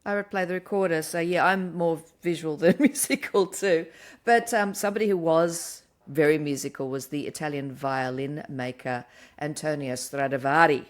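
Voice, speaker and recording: A woman with a low voice for her sex explains a topic in a lively, chatty way. She laughs easily and keeps the tone casual and engaged. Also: moderate pace. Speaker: podcast co-host. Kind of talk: casual chat.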